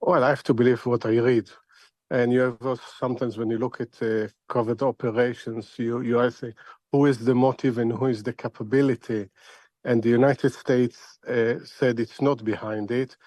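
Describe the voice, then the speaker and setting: A middle-aged man speaks as an expert analyst in a news interview. His delivery is halting and deliberate, circling back over words while he thinks. The voice is medium in pitch and recorded dry. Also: flat delivery, darkish tone, no room sound.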